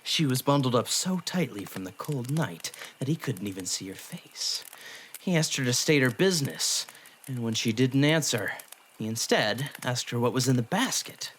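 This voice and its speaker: A man's voice: mid-range voice, animated, normal speed, slight background noise, close to an everyday voice. A man voicing a brash, blunt young dog demon who grumbles and riles easily, in an anime-style audio drama. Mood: spooky storytelling.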